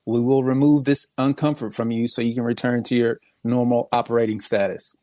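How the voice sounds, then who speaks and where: Man, podcast guest, emphatic explainer, mid-pitched voice, dry close sound. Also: steady tone, brisk, warm tone, no room sound. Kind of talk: casual podcast discussion.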